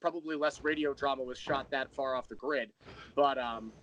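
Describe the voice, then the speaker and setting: A man's voice: medium pitch, fairly even intonation, normal speed, a bit bright, muffled recording, a little hiss or hum. A man speaking casually as an interview guest, explaining his creative work.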